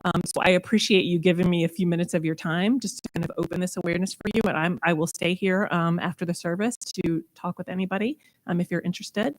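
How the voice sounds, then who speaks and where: A woman's voice: fairly low pitch, fairly even intonation, fast, hardly any pauses, low-quality recording. A woman speaking informally as a guest presenter at a church service. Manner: apologetic asides about her loud voice.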